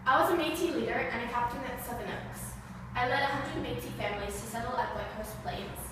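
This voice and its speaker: A woman reading scripted answers in the role of a historical man, in a plain, steady way. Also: fairly high pitch, quick pace, lightly acted. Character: grown man, a historical Canadian figure. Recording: echoey hall, far from the mic, with background noise.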